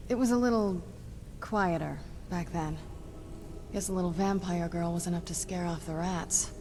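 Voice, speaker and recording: Loud ambient noise surrounds a young vampire woman, played by an actress in a video game. She is a curious, adventurous companion with a slightly low voice. Her delivery is casual and wry. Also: moderate pace, natural voice. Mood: wry, reminiscing. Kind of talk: video game character dialogue.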